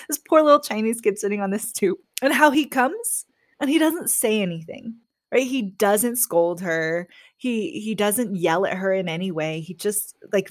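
Medium-pitched woman in casual book talk. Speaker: podcast guest.

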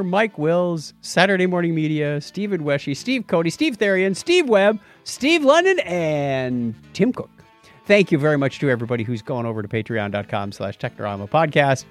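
A man with a slightly high-pitched voice hosts a casual podcast. His intonation swings in a lively way. He talks informally and drops in joking asides, with some music around the voice. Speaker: podcast host.

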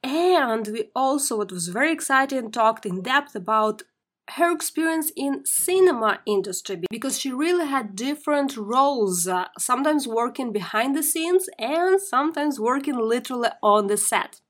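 A woman's voice: high-pitched, animated, moderate pace. A woman hosting a podcast interview, upbeat and emphatic as she introduces her guest and asks questions. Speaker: podcast host.

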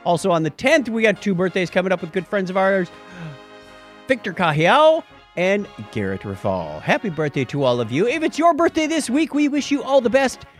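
Animated man hosting a chatty podcast. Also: high voice, moderate pace, faint music behind. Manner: jokey, chatty. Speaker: podcast host. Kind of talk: casual news and birthdays banter.

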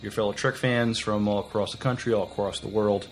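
A man co-hosting a podcast, sharing news in casual chat with a halting, hesitant delivery. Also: lowish voice, fairly even intonation. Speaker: podcast co-host.

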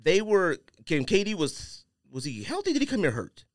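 Male podcast co-host, slightly high voice with very animated intonation, slangy, emphatic casual sports talk. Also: normal speed, dry recording, studio quality.

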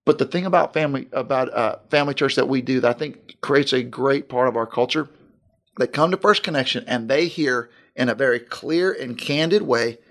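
A man's voice: medium pitch, a little fast. A male pastor talking in a casual podcast discussion, earnest and fond of long lists.

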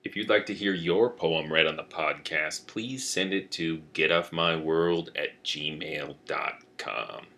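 A man's voice: very deep voice, slow pace, hardly any pauses, over music. A man co-hosting a podcast in a casual, conversational way. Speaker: podcast co-host. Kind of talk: casual chat.

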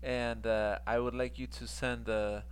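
A man's voice: mid-range voice, little pitch movement, clear, resonant tone. A man teaching an online English lesson, explaining patiently with many fillers. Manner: switches between Spanish and English, explains slowly with fillers.

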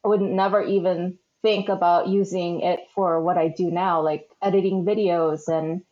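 A woman's voice: lowish voice, fairly even intonation, normal speed, warm tone. A woman born in the Philippines, a guest chatting casually in an interview, with lots of fillers and easy laughs. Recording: slightly dull lo-fi sound.

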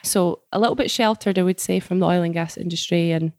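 A woman's voice: fairly low pitch, fast, darkish tone, dry recording, studio quality. A Scottish woman in her late twenties, chatting as a guest in an office interview, friendly, outgoing and self-deprecating. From Scotland. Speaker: guest engineer. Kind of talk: casual interview chat.

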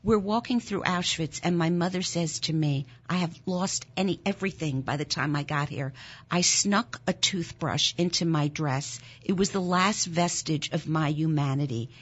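A woman in her sixties, born in Germany with German as her first language, speaks low and earnestly as an interview guest. Her telling is intense and climbs high when the feeling takes over. The sound is a little dull and muffled. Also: moderate pace, breathy edge. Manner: earnest, intense, rises sharply when moved. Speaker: interview guest, nonprofit founder. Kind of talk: emotional personal storytelling in an interview. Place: Philadelphia.

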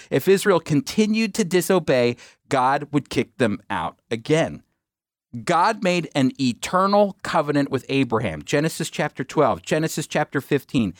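A man's voice: high voice, dry recording, studio quality. A man teaching the Bible on a radio program, emphatic, with rhetorical asides to his listeners.

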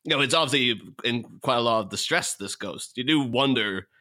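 A man in casual podcast chat, talking in an animated, rambling way. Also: medium pitch, a little fast. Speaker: podcast panelist.

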